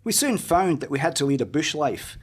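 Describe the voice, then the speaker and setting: An actor playing a quarantined emigrant who plaintively recounts camp hardships, as if reading a letter, in a radio play. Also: male voice, a little high, big pitch swings, a little fast, husky. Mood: complaining. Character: quarantined emigrant man writing home, weary and complaining. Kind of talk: amateur radio play acting.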